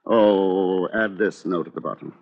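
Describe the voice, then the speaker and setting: An actor playing a coldly arrogant solicitor in a vintage radio thriller, formal, articulate and sardonic, on an old radio recording. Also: male voice, low-pitched, fairly even intonation, unhurried, muffled recording. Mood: cold, proud. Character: coldly arrogant English solicitor confessing to murder. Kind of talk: 1940s radio thriller acting.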